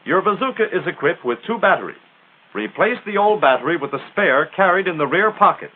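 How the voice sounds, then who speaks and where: A tinny, narrow-band 1940s film soundtrack carries an American man narrating military instruction. His voice sits fairly high and swings with lively emphasis. He delivers it in a punchy, instructional style. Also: brisk.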